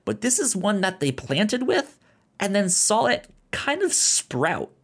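A man co-hosting a casual film discussion, talking haltingly with repeated words and false starts. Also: sits high, wildly swooping pitch, creaky, a bit bright. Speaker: podcast co-host.

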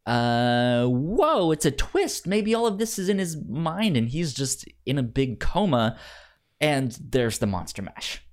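Slow, stuttering man chatting about films. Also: mid-range voice, big pitch swings. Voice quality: stutter, repeats sounds and words many times. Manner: halting, acts out paraphrased lines. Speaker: film review podcast co-host. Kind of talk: casual chat about a film.

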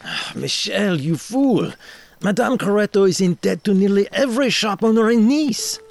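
Man playing a creaky, high-voiced, blunt scornful friend. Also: animated, moderate pace, no echo. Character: blunt, scornful male friend and confidant, gossipy. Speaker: actor in an audio drama. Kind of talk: dramatic audio drama dialogue.

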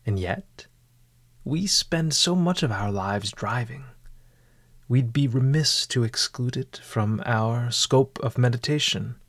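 A man narrating a scripted guided meditation in a formal, measured and eloquent manner. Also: mid-range voice, fairly bright tone, dry recording.

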